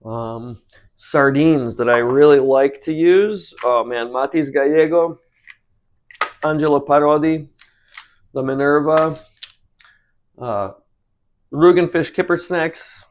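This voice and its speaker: A man's voice: fairly high pitch, takes it slowly, long pauses. A man in his forties from the United States, chatting casually and rambling with many fillers while he cooks on his channel. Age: 40s. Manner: rambling, relaxed, many ums and uhs. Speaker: cooking channel host. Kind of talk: casual talk while cooking.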